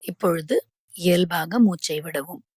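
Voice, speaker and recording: A woman guiding a yoga nidra relaxation, giving calm, measured instructions with pauses between phrases. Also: on the low side, slightly rough, no room sound, clean studio sound. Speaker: yoga nidra meditation guide.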